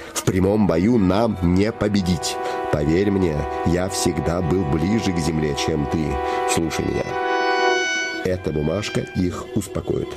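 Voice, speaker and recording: A man's voice: deep voice, lightly acted. An actor playing a man's scheming living shadow that poses as a devoted friend, insinuating, solemn and emphatic, in a theatrical fairy-tale play. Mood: urgent persuasion. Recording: music behind the voice.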